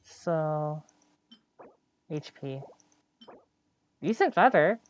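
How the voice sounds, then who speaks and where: A woman's voice: low-pitched, expressive, extremely slow, dull audio. A woman streaming a game with casual live commentary, playfully muttering to herself with frequent interjections. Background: game music.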